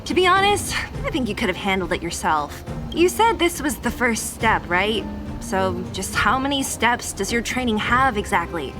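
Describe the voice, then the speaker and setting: A woman's voice: fairly high pitch, very animated, moderate pace, over music. A young woman playing a no-nonsense, skeptical fighter in a video game, speaking in a blunt, exasperated and direct way. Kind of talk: animated video game voice acting.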